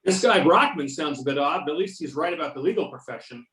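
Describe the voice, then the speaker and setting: Roomy, distant video-call sound carries a man reading a wry federal agent. His voice is a little high for a man. He acts in an easygoing amateur theater style. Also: expressive, fast talker, a bit bright. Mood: wry, amused. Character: wry male FBI agent back in his hometown. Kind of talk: amateur readers theater acting. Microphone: videoconference or conference call.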